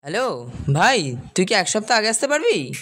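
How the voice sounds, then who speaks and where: A man's voice: high-pitched, sing-song, moderate pace, music in the background. A man playing a chummy, persuasive young school friend, colloquial and coaxing, in a dramatized story. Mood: urging.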